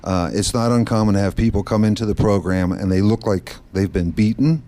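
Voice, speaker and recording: A middle-aged man from the Netherlands, a guest on a radio talk show, explaining his nonprofit work in a steady, explanatory way. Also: on the low side, brisk. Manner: steady, explanatory, occasional restarts. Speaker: guest, branch manager of a nonprofit. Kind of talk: radio interview answers. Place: studio set in a mall.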